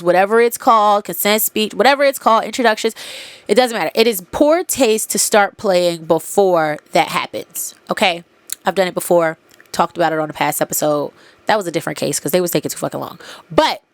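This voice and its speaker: A woman hosting a podcast, telling a story in a candid, profane, animated way with a congested nose. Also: fairly low pitch, no echo. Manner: candid, profane, animated retelling with quoted dialogue. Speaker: podcast host. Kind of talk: casual storytelling monologue.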